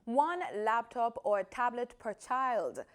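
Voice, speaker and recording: A woman's voice: fairly high pitch, lively intonation, takes it slowly. A Jamaican woman reading scripted news as an anchor.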